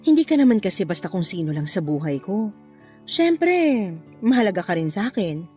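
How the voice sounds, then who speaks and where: A woman playing a flirtatious, unapologetic married mother in a Tagalog radio love drama, with a playful, expressive delivery. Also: mid-range voice, normal speed, faint music behind, natural voice. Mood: coaxing. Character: flirtatious married mother having a secret affair, breezily unapologetic.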